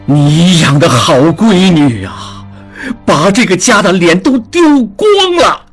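Stern old patriarch, shouting, husky storyteller's own voice pushed up. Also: male voice, sing-song, slow, soft background music, full character voice. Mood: angry, barking. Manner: barking, shouting, raised pitch. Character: stern, angry old father of the house.

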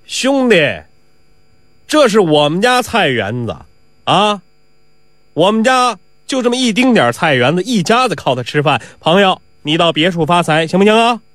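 A man's voice: high-pitched, big pitch swings, fairly quick, no echo. A man voicing a young garden watchman in a storytelling piece, pleading or blustering with a hot temper and stumbling when flustered. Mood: pleading, restrained.

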